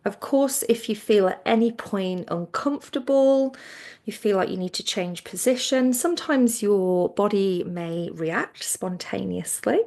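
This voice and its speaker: Woman, relaxation teacher, very slow pace, noticeable vocal fry, gentle soothing instruction, soft phrase endings. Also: medium pitch, lively intonation. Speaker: yoga nidra relaxation teacher. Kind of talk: guided relaxation meditation.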